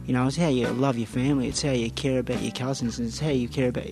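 A man's voice: mid-range voice, steady tone, fast talker, vocal fry, over music. A man speaking informally and personally, unscripted.

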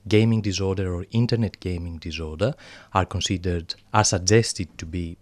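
A man's voice: low voice, dry recording. A man with a Greek accent, a psychologist guest explaining things carefully in an interview, often correcting himself. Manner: careful, deliberate, self-correcting.

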